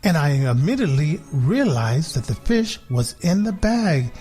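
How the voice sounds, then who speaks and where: A man reading a children's storybook as a sly, contented big house cat, in a measured, deliberate storytelling way. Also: a little high, very animated, a little mellow, faint music behind. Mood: sneaky, earnest.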